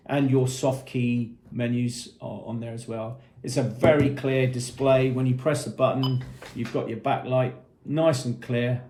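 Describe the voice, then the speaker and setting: A man with a mid-pitched voice gives an unscripted product walkthrough. He explains things methodically and plainly, point by point. The sound is roomy, with clear echo around his voice. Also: mellow, a little hiss or hum. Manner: matter-of-fact, methodical, explanatory. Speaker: product presenter.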